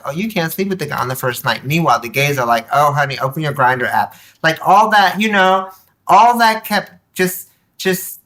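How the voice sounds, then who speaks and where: Bright-voiced, emphatic woman telling personal stories. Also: very deep voice. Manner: animated, emphatic, acts out quoted remarks. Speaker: podcast guest, nonprofit board member. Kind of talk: candid personal storytelling in conversation.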